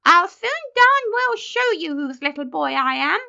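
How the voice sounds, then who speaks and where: Woman acting a shrill, haughty boy commander. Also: big pitch swings, normal speed, creaky, sharp tone, highs cut off, full character voice. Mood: shrill, indignant. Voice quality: shrill, uncertain treble. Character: indignant old man trapped in a boy's body. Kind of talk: audiobook character acting.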